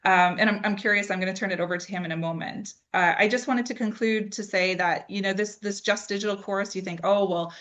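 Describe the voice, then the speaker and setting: A woman's voice: medium pitch, steady tone, a little fast, few pauses, fairly bright tone. A woman speaking as an expert presenter in an informal talk, explaining things with rhetorical questions and conversational check-ins. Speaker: AI expert presenting an online discussion.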